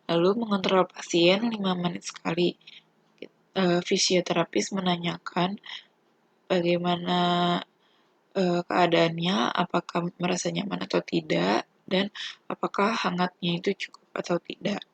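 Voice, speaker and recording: A young adult woman, a student giving an instructional presentation in a halting, deliberate, step-by-step way. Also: on the low side, flat delivery, gravelly, husky, no echo. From Indonesia.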